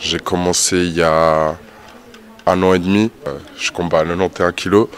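A young man with a very deep voice talks about his fights in a plain, matter-of-fact way. He answers in turn during a group interview. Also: flat delivery, moderate pace. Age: 20s.

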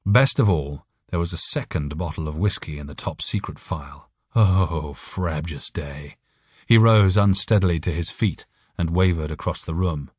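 Deep-voiced guy reading an audiobook story, really dry close sound. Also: moderate pace, a little mellow, breathy edge, no room sound. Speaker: audiobook narrator. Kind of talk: scripted story narration.

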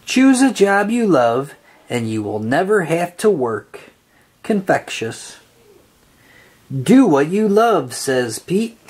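A storybook reader reading a children's picture book aloud in an expressive, sing-song way with drawn-out phrases. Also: mid-range voice, slightly rough.

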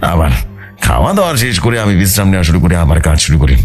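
A man's voice: low-pitched, big pitch swings, quick pace, soft background music. A man narrating a horror story in the first person, in a dramatic storytelling style, as the narrator of an audio story.

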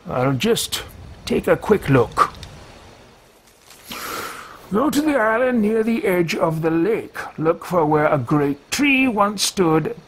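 A man's voice: on the high side, a bit bright, exaggerated voice. A man voicing a formal male mage adventurer who mutters to himself in stately phrases while roleplaying a game.

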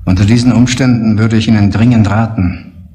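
A man's voice: on the low side, fairly even intonation, brisk, dark tone, natural voice. An actor playing a male state prosecutor who talks in a curt, dismissive, lecturing way. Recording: echoey, hissy old TV soundtrack.

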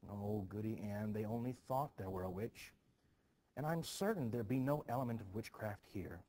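A man's voice: on the low side, normal speed, dark tone, slight background noise, lightly acted. A man reading the part of an anxious, defensive village reverend in a stage play, flustered and pleading, repeating himself.

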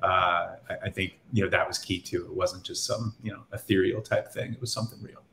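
A man's voice: low voice, fairly even intonation, fairly quick, creaky, fairly bright tone, slight background noise. A middle-aged man explaining something casually and in a rambling, self-correcting way on a video call. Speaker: marketing executive on a panel. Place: home room indoors, video call. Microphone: video call, laptop distance. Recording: echoey room over video call.

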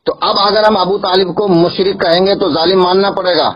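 A male Islamic cleric debating religion over a recorded phone call, insistent and argumentative, pressing his points by repeating them, with a husky voice. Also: high voice, steady tone, a little fast.

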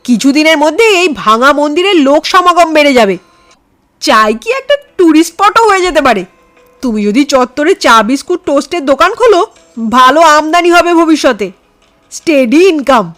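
A woman voicing a cocky, amused male visitor in an audio horror story, talking in a brisk, patronising way. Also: high voice, animated, a bit bright. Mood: confident, scheming.

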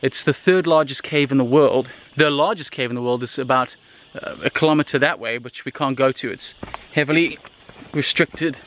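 A man in his thirties, vlogging with excitement while talking to a handheld camera in the jungle and inside a huge cave. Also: mid-range voice, moderate pace, slight background noise. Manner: enthusiastic, excited, talks straight to handheld camera. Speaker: travel vlogger. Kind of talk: casual travel vlog. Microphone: handheld camera at arm's length.

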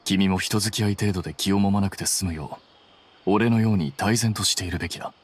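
Low, husky, aloof intellectual man. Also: a little fast. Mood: dry, detached. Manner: cool, deadpan, composed. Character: aloof, self-assured young male intellectual. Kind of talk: Japanese game voice acting.

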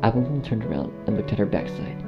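A woman's voice: rumbling low, sing-song, fast talker, muffled recording, music in the background. A woman reading scripted horror story narration as the narrator of an audio drama.

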